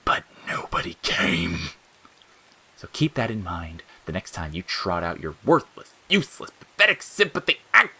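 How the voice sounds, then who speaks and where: A man's voice: low voice, wildly swooping pitch, normal speed, bright, forceful tone, breathy edge, exaggerated voice. A man voicing a cruel, mocking, childish talking flower in an audio fiction, jeering and wheedling by turns. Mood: menacing, venomous. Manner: jeering, cackling, swinging from sneers to wheedling. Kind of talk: dramatic audiobook character acting.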